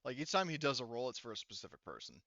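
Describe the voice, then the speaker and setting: A man's voice: mid-range voice, sing-song, rapid-fire, pushed and bright, dry recording, highs cut off. A man casually running a tabletop game as game master, explaining rules and narrating the action.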